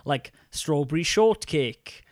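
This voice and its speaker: A man's voice: a little high, expressive, slow pace, no echo, studio quality. A man, co-hosting a casual podcast about retro games and rambling with lots of fillers. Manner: rambling, many fillers and restarts. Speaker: podcast co-host.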